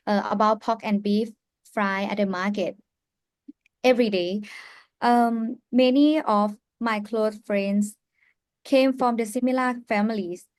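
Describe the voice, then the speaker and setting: A young woman with a Thai accent speaks slowly and earnestly, pausing often and leaning on fillers as she tells her story. Her voice sits at a middling pitch. The sound is close and dry, with no room echo. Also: smooth. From Thailand. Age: young adult. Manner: earnest, halting, with many fillers. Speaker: youth ambassador. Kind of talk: personal story on a live panel.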